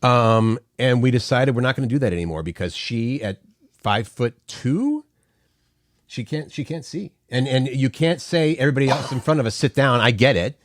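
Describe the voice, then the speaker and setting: A man's voice: medium pitch, lively intonation, moderate pace, dry recording, clean studio sound. A middle-aged man co-hosting a morning show, chatting casually in a rambling way and often repeating words as he starts a thought. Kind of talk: casual chat.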